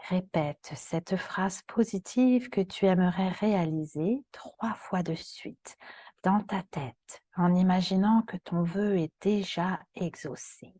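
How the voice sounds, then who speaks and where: A woman yoga teacher guiding a relaxation meditation in a calm, soothing, soft voice that often turns breathy. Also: fairly low pitch, no echo. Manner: calm, soothing, gentle instructions with long pauses.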